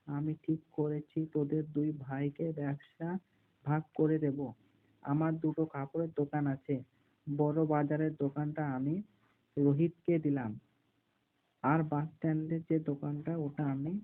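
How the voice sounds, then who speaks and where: A man's voice: a little high, phone-quality sound, lightly acted. A man voicing an ageing, weary, stern but caring shop-owner father in a cartoon, in an admonishing way. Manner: admonishing, instructing.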